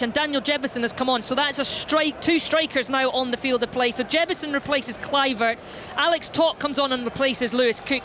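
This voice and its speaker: A football commentator describing live match play in a radio sports commentary style, with outdoor stadium ambience behind. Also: high voice. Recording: clear ambient noise behind.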